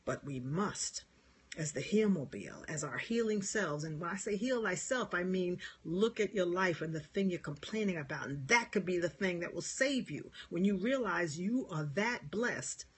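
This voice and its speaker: A speaker from New York and New Jersey with a New York area accent, a spiritual healer giving a meandering, gentle live-stream talk to viewers and laughing often. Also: mid-range voice, animated, moderate pace, husky. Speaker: spiritual healer and Reiki teacher.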